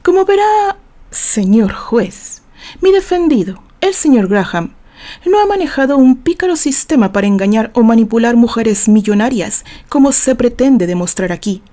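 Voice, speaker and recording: A woman's voice: a little high, sing-song, normal speed, mellow. A woman voicing an assured male defense attorney in an audio novel, arguing formally and emphatically like a courtroom orator. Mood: assured, pointed.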